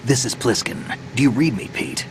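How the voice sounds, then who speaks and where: A man's voice: mid-range voice, normal speed, background noise, natural voice. An actor playing a seasoned male soldier in a video game cutscene, terse and matter-of-fact. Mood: brisk, tactical. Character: seasoned male soldier, gruff, calm, dependable. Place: recording studio. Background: game score music.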